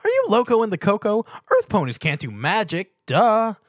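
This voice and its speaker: A man voicing a bubbly, excitable female cartoon pony who gushes and exclaims in a dramatic story reading. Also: high-pitched, moderate pace, no echo, clean studio sound, pitched above the performer's own voice, exaggerated voice. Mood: playful. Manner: gushing, exclamatory. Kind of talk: dramatic fan fiction reading.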